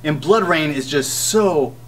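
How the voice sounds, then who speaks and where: Guy on a podcast giving blunt opinions, bit high voiced, slightly off mic, stop-start delivery. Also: animated, unhurried, a bit bright, far from the mic, slight background noise. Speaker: podcast co-host. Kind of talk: casual chat, opinionated review.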